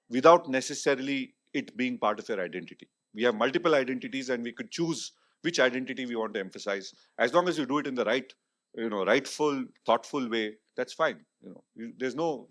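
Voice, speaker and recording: A man from coastal Karnataka speaking on a panel, measured and reflective. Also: mid-range voice, a bit bright. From coastal Karnataka, India. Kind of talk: panel discussion.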